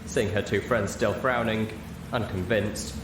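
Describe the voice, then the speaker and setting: A man reading a story aloud as narrator, in an expressive scripted style. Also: fairly low pitch, a little slow. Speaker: audiobook narrator. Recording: roomy, echoey, clear background noise.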